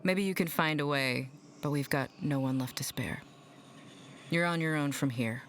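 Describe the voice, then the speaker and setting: An actor playing a grim resistance fighter in a video game, speaking bluntly and in a hushed way. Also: medium pitch, normal speed, slight background noise, close to an everyday voice. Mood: terse, grave. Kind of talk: video game dialogue acting.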